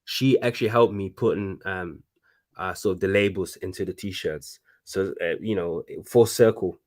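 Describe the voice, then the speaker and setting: Guy in his 30s, low voice, designer chatting, keeps restarting lines. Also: normal speed. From Congo (DRC). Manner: animated, with frequent restarts. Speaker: guest, fashion designer. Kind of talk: casual conversation.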